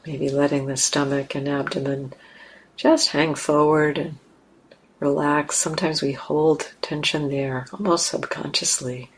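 A woman leading a guided meditation, speaking calmly and gently with long, unhurried pauses. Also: low-pitched, breathy edge, rough recording. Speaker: meditation teacher.

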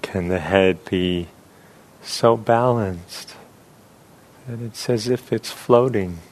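A man leading a guided meditation as a teacher, speaking softly and unhurriedly with long pauses. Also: fairly low pitch.

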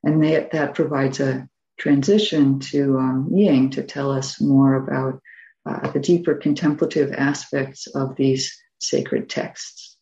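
Woman teacher, earnest and hedging; gravelly, mellow voice, dull echoey recording. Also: extremely low pitch, fairly even intonation, slow. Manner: earnest, personal, hedging with fillers. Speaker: meditation teacher. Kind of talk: dharma class talk.

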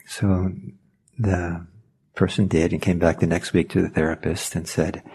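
A man whose first language is Norwegian speaks in a low, dark, gravelly voice. He gives a quiet spiritual talk on listening. He pauses often and searches for his words. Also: flat delivery, slight background noise. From Norway. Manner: thoughtful, hesitant, searching for words.

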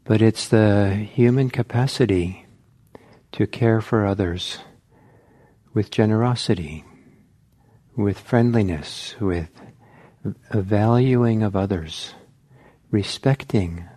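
A man teaching meditation in a gentle, reflective, halting way, leaving long pauses between short phrases. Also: fairly low pitch. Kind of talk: guided meditation talk.

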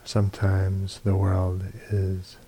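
Very slow, low-voiced man guiding meditation. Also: monotone, mellow, slight background noise. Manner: slow, sparse phrases with long silences. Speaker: meditation teacher. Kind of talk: guided meditation with read poetry and reflections. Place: meditation retreat hall.

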